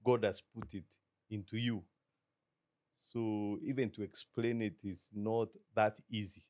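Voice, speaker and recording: A middle-aged Kenyan man with a Kenyan accent, a business guest talking in a measured, reflective way and slipping into Swahili. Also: lowish voice, little pitch movement, a little mellow, no echo. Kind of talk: relaxed interview talk.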